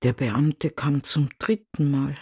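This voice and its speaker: An actress in a radio drama playing a concerned mother who gives a sober, subdued witness account with deliberate pauses. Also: low voice, fairly even intonation, warm tone, slightly rough, heavily acted. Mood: sober, troubled. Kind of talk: narrated testimony in a radio drama.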